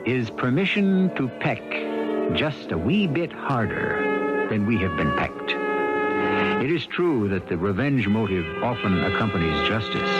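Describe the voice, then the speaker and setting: Old radio mystery host guy, low voice, dramatic swings, music loud behind, lo-fi. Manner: measured, literary, dramatic. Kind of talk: 1970s radio scripted narration. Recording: dull, lo-fi old radio broadcast. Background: prominent music.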